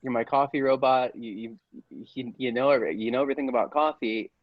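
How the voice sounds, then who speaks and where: A sheepish, defensive boyfriend, played by a man, halting and stammering in improv comedy. He has a mid-range voice, recorded dry and slightly dull. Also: mid-range voice, dry recording, close to an everyday voice. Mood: indecisive. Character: sheepish, defensive boyfriend obsessed with robot gadgets.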